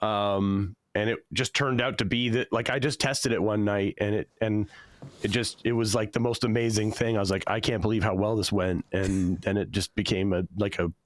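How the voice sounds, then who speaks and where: A man with a fairly low, hoarse voice, worn from losing it. He tells dry jokes in a flat, deadpan way, with plenty of ums between thoughts. The sound is close and dry, with no room around it. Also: normal speed, no echo. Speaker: guest, comedian and author. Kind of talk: casual interview chat. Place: home setup, standing.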